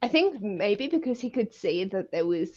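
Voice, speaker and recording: A woman chats as co-host of a book discussion, with lively swings in pitch. She is blunt and slangy and swears casually. The sound is a little dull, like call audio. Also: moderate pace.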